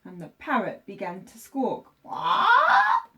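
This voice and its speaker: A woman teacher reading a children's story aloud, with expressive, deliberate phrasing for young listeners. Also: medium pitch, lo-fi sound.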